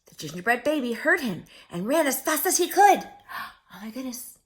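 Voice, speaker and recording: A woman's voice: high voice, big pitch swings, moderate pace. A woman reading a picture book aloud to young children as a story-time host, theatrical and often putting questions to her listeners.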